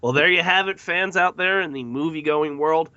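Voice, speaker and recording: A man's voice: a little high, normal speed, pushed and bright, dry recording, muffled recording. A man hosting a podcast panel discussion in a casual style, addressing guests and listeners. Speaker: podcast host.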